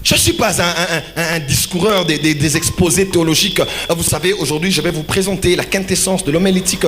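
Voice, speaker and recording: A man's voice: on the high side, quick pace, a bit bright. A man preaching a fervent, emphatic revival sermon in short, exhorting phrases. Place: live church service. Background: music.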